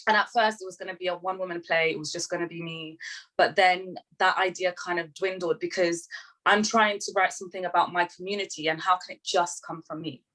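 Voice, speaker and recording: A woman's voice: fairly low pitch, fairly even intonation, brisk, fairly bright tone, rough recording. A young woman who grew up in London, talking eagerly as a panelist on a video call, with many fillers and restarts. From grew up in London, England. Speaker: panelist, writer and performer. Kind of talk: online panel talk.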